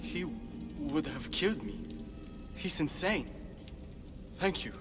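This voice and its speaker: A man's voice: on the high side, animated, slow pace, in a large hall, music in the background. A man acting a frightened underling in a video game, pleading and insisting that he can prove himself. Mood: shaken, determined. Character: desperate young male underling, frightened but eager to prove himself.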